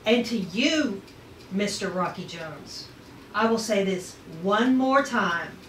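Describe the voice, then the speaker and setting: A woman's voice: medium pitch, animated, takes it slowly. A woman reading the part of a defiant, self-assured young astronavigator in a retro space adventure table read. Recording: echoey room, distant mic, faint noise.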